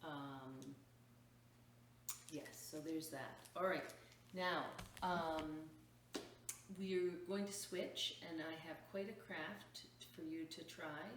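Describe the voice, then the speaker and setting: A woman with a low voice hosts a children's story time. She reads at a slow, expressive pace and breaks off for casual explanations. The recording is very echoey, as if the microphone sits some way from her. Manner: expressive storytelling, drawn-out words, explanatory asides. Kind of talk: reading a picture book aloud to children, with chatty asides. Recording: echoey room, distant mic, faint noise.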